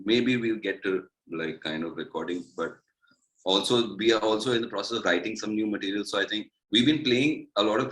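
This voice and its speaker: A man with a deep voice and an Indian accent talks casually as a musician being interviewed. He rambles along loosely, dropping "like" into his sentences often. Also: a bit bright, low-quality recording. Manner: rambling, peppered with "like". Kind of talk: casual chat.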